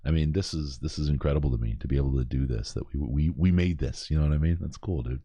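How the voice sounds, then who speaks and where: A man's voice: rumbling low, fast talker, mellow, slightly rough, dry recording, lo-fi sound. A middle-aged man from Michigan with a Michigan accent, hosting a podcast in casual, rambling chat with lots of restarts. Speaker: podcast host. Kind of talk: casual charity stream chat.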